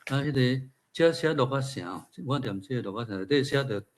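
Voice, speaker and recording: A man's voice: mid-range voice, expressive, fairly quick. A man with a Taiwanese accent, an author reading his own fiction aloud and adding informal remarks. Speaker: featured speaker, writer.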